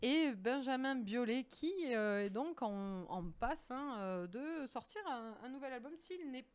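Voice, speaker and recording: A young woman co-hosting a live-stream music quiz, playful and teasing, often pausing on fillers as she gives clues. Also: fairly high pitch, lively intonation, clear, resonant tone. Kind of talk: casual live-stream chat.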